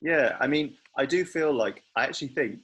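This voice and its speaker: A man's voice: medium pitch, a little fast, a bit bright, breathy edge. A man chatting casually as a podcast host, speculating in a tentative way. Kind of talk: casual podcast chat about a TV series.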